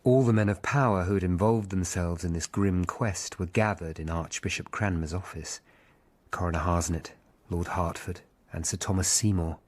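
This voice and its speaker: An actor playing a watchful Tudor investigator narrating a radio drama in a measured, sombre, literary way. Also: male voice, sits low, fairly even intonation. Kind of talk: scripted first-person drama narration. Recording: clear background noise and ambience.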